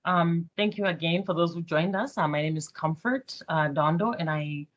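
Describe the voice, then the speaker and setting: A woman's voice: fairly low pitch, moderate pace, lo-fi sound. A woman, a panelist from a nonprofit, presenting conversationally with frequent fillers. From Zimbabwe. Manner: many fillers and restarts.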